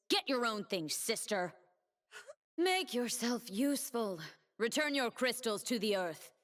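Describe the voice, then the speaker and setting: A proud, measured warrior woman. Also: medium pitch, a bit bright. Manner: solemn, defiant, cutting. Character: proud, stern young woman warrior, a blade dancer defending her homeland. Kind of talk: video game character voice lines.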